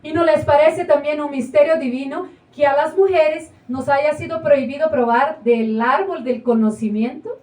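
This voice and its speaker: A woman's voice: high-pitched, normal speed, hardly any pauses, darkish tone, close to an everyday voice. A woman acting in a live stage play, questioning and arguing her point. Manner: questioning, argumentative. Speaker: stage actor. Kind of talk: live theater performance. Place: small indoor theater. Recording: roomy, distant stage recording.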